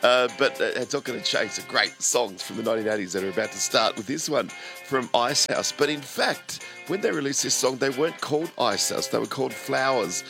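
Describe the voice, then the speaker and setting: A man's voice: medium pitch, lively intonation, fairly quick, gravelly, over music. A man presenting a radio show while he has a cold, chatting in a loose, rambling way with fillers.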